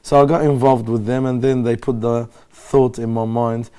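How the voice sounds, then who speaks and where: A middle-aged man speaks evenly and steadily, keeping his delivery flat. His tone is dark and mellow, and he lays out his points patiently for a live TV audience. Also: mid-range voice, smooth. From Australia. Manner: calm, measured explanatory talk. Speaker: guest Islamic scholar. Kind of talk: live TV interview.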